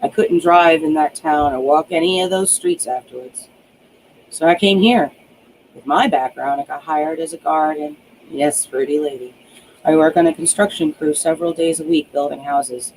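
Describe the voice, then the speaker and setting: A man acts out a world-weary ex-cop from a novel, protective and a little sardonic. He talks in an earnest, plain-spoken way, in a slightly high male voice with faint noise behind it. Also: moderate pace, close to an everyday voice, poor audio. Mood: weary, candid.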